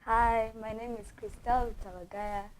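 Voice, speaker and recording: A woman's voice: a little high, flat delivery, moderate pace, slight background noise, low-quality recording. A young woman from Rwanda, a student guest chatting casually on a talk show. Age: young adult. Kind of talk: casual chat.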